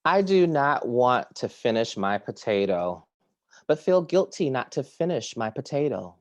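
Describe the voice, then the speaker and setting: A man giving a poetry reading over a video call from his kitchen table. He reads at an unhurried, deliberate pace, and his pitch sits in a middle range. Between poems he introduces the next piece with soft, hesitant fillers. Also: very animated. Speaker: poet reading at a virtual series. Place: home kitchen or similar domestic room.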